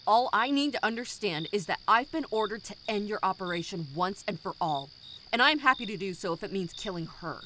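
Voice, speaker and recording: A woman playing a bitter, vengeful rebel commander in an audio drama, speaking with formal, deliberate menace. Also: medium pitch, animated, pushed and bright, slight background noise. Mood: cold, threatening.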